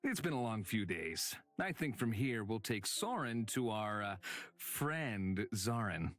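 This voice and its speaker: A man's voice: on the low side, big pitch swings, a little slow, a bit bright. A man voicing an easygoing, reassuring travel companion in a visual novel game, casual in manner. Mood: wry, casual. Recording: music under the voice.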